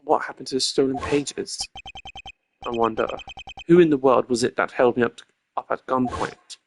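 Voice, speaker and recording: A man voicing a cool, formal prosecutor from a video game, speaking in a measured, pompous way. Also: medium pitch, steady tone, gravelly, slight background noise, lightly acted. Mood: composed, pondering. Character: cool, formal male prosecutor with deductive mind. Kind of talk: amateur video game voice-over. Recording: loud music under the voice.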